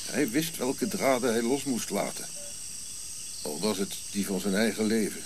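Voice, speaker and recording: An actor playing a sardonic, irritable old prince in a radio drama, speaking curtly with dry irony. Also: male voice, lowish voice, moderate pace, close to an everyday voice. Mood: wry, reflective. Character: grumpy, sardonic old prince looking back on life. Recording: clear background hiss and insect-like night noise.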